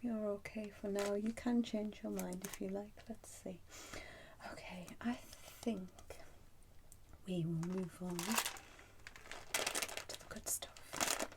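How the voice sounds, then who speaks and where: Slow, whispering woman in ASMR roleplay. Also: medium pitch, fairly even intonation. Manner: soft, breathy whispering; slow, pausing, unhurried. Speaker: ASMR performer playing a soup shop keeper. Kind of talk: whispered ASMR roleplay, addressing the listener as a customer.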